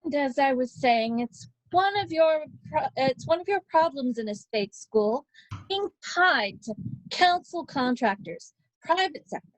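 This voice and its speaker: Brief: a woman reading a know-it-all mum, high-pitched, dry sound. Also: animated, measured pace, no room sound, low-quality recording. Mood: opinionated. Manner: opinionated. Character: opinionated mum complaining about schools. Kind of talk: amateur online play reading.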